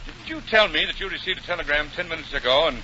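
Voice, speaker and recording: An actor playing a suspicious, sardonic police detective in a 1940s radio comedy, probing slyly with sarcasm. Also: male voice, a little high, animated, fast talker, sharp tone. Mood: pointed. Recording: muffled, noisy 1940 radio broadcast.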